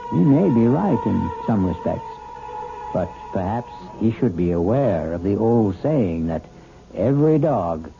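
A man hosting and narrating a radio mystery show in a measured, wry, ominous manner. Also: low voice. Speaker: host and narrator. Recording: dull vintage radio broadcast audio with music.

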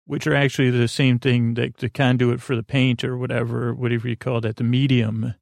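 Rambling, gravelly sleep-podcast host. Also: male voice, dry recording. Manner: meandering, halting, full of fillers and restarts; deadpan mock product pitch. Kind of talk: rambling improvised monologue.

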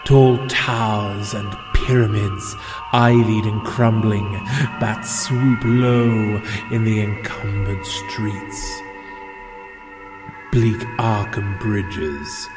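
A man giving a solemn, measured dramatic reading of a poem. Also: fairly low pitch. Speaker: poetry reader. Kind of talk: slow dramatic poetry recitation. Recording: music behind the voice. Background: background music.

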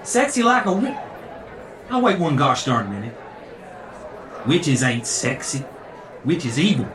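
A zealous, pompous revival preacher, preaching with lecherous relish in a theatrical sermon. His slightly high voice rings through a roomy space over a noisy crowd. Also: big pitch swings, slow pace, distant mic. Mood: indignant. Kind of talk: theatrical fire-and-brimstone sermon.

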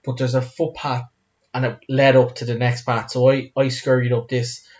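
A man's voice: mid-range voice, level tone, normal speed, a little mellow, muffled recording. A man co-hosting a podcast, casually chatting and recounting things in a conversational way. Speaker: podcast co-host. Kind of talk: casual chat, recounting anecdotes.

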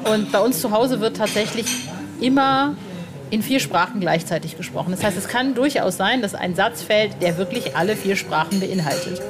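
A woman's voice: mid-range voice, animated, moderate pace. A woman chatting casually and informally as a podcast host, in a colloquial conversational style. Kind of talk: casual conversational interview chat.

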